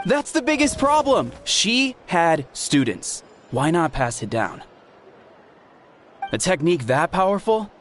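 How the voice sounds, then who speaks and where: A man's voice: high-pitched, sing-song, measured pace, a bit bright, a little hiss or hum, exaggerated voice. A man voicing a skeptical, sarcastic young tribesman in a video game. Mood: scoffing. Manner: scoffing, sarcastic.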